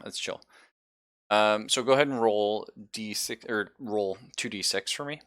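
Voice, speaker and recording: A man's voice: lowish voice, a little slow, a bit bright, dry recording, clean studio sound. A man acting as game master, casually explaining rules and narrating the action of a tabletop role-playing game. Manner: explains rules conversationally, describes gory action.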